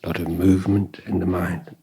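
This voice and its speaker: A man giving guided meditation instruction as a Buddhist teacher, calm and measured, with long pauses and a husky, breathy voice. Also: deep voice. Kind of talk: guided meditation dharma talk.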